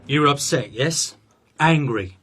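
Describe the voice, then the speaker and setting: A man's voice: a little high, a little slow, background noise, lightly acted. A man playing an insistent police interrogator in a naturalistic radio drama. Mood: pressing, accusing.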